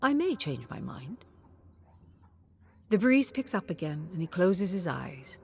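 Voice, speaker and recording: A woman with a fairly low voice narrates a scripted story in short, measured phrases. Her intonation moves around a lot, giving the telling an expressive, dramatic feel. There is faint background noise under the voice. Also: creaky.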